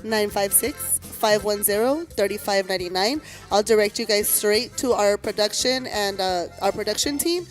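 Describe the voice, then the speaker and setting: A woman, chatting as a podcast guest in a deep, husky voice and mixing English with Spanish. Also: moderate pace, hardly any pauses, soft background music, low-quality recording. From South Texas. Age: 30s. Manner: switches between English and Spanish mid-sentence. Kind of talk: casual chat.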